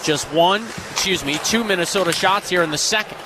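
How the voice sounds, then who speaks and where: A man's voice: sits high, a little fast, background noise. A man calling live ice hockey on the radio in clipped, vivid running commentary. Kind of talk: live sports play-by-play commentary.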